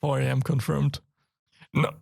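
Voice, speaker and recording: A young German man with a raspy, hoarse voice, co-hosting a casual games podcast, laughing often and getting excited. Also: mid-range voice, measured pace. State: hoarse from a cold. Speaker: podcast co-host. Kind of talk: casual chat.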